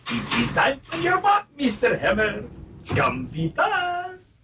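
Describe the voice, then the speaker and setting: Creaky, wildly swooping courteous interrogator. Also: male voice, very high-pitched, huge pitch swings, takes it slowly, far from the mic, background noise, full character voice. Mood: politely menacing. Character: polite, menacing wartime male captor and interrogator. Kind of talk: comic radio play acting.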